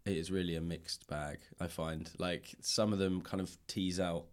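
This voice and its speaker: A man with a very low-pitched voice talks casually as a podcast host. His delivery is conversational and informal, like an easygoing interview chat. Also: fairly even intonation, moderate pace. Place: library room.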